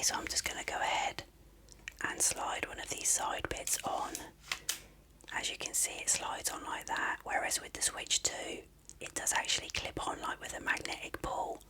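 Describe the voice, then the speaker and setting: A person speaking in a breathy, unvoiced whisper, softly and unhurriedly explaining things as an ASMR unboxing presenter. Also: low-quality recording. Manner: soft-spoken, unhurried, explanatory. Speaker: video presenter.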